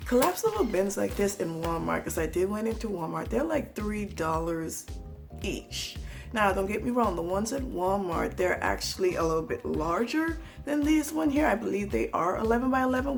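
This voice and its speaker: A woman with a raspy, hoarse voice from a cold, chatting casually to viewers in a home haul vlog, rambling with asides. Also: mid-range voice. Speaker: home organizing vlogger.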